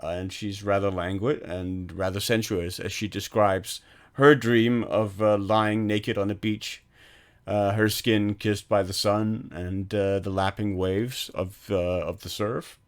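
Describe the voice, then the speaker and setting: Low-voiced man retelling film scenes. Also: flat delivery, measured pace. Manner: rambling, full of ums and restarts, laughs while retelling scenes. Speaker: podcast co-host. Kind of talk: casual film discussion.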